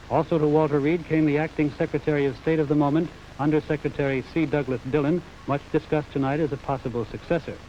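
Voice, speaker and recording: Higher-voiced American newsman, muffled vintage broadcast. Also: warm tone. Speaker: news correspondent. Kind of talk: scripted news report. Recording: dull 1950s broadcast, faint noise.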